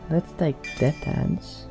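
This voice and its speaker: A man hosting a casual let's play, musing aloud as he goes. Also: mellow. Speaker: gaming channel host. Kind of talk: casual let's play commentary with some read-aloud roleplay. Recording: game music behind the voice.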